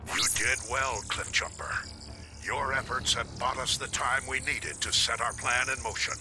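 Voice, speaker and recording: A man acts a noble robot commander in a video game. The mid-range voice is measured and solemn, offering calm authority over clearly audible music. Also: mid-range voice, lightly acted. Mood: solemn, reassuring. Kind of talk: video game character acting. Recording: music behind the voice.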